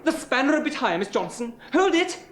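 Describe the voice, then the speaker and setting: A man's voice: very high-pitched, sing-song, fast, fairly bright tone, heavily acted. An English actor in a 1970s radio play, playing a breezy, teasing male press photographer who bosses his subject about. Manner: breezy, teasing, theatrical exclamations. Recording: hissy old radio broadcast.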